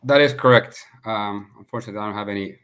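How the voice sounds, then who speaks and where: A man in his thirties from Finland, a guest on a video call interview, speaking in a measured way with filler pauses. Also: fairly low pitch, fairly bright tone, slight background noise. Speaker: guest, sports consultant. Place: home room, indoors. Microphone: laptop webcam on video call.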